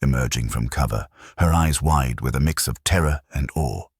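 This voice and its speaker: A man narrating a science fiction audiobook in a steady, dramatic storytelling manner, close to a studio mic. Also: a bass voice, normal speed, slightly rough, no echo. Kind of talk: scripted narration.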